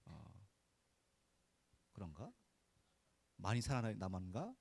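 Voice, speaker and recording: A man's voice: on the low side, very animated, takes it slowly, gravelly, a little mellow, slightly rough, dry recording. A man preaching a conversational Sunday sermon as a pastor, in a folksy, informal way, often putting short rhetorical questions to his listeners. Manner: asks rhetorical questions and prompts listeners for answers.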